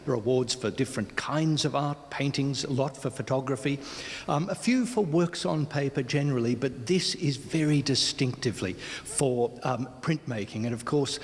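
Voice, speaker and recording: A man's voice: mid-range voice, moderate pace, a little hiss or hum. Aussie guy explaining stuff in an interview, wordy and considered, big echoey room. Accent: Australian. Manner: articulate, considered, wordy phrasing with asides. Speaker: gallery spokesperson, interviewee. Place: large indoor gallery space. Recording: reverberant gallery hall.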